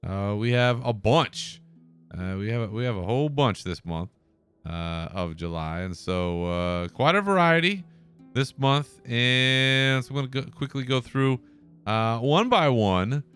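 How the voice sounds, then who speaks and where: A man's voice: mid-range voice, a bit bright, clear, resonant tone. A man hosting a podcast alone, talking in a laid-back, casual way and swearing now and then. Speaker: podcast host. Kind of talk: solo casual commentary.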